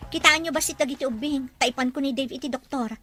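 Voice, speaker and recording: Woman acting high-pitched dutiful young Ilocano mother. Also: fast, pushed and bright, soft background music. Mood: polite, anxious. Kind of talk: Filipino family drama acting.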